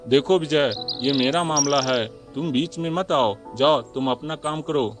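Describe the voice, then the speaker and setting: A man's voice: on the high side, moderate pace, over music, natural voice. A man voicing a stern, greedy elder brother in a moral story, curt and dismissive. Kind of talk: animated moral story dialogue.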